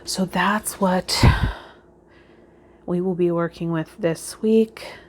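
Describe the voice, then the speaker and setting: A woman hosting a vlog as a tarot reader, talking to viewers in a gentle, reflective way and offering spiritual guidance. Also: on the low side, slight background noise. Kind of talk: casual spiritual guidance talk to viewers, partly read out.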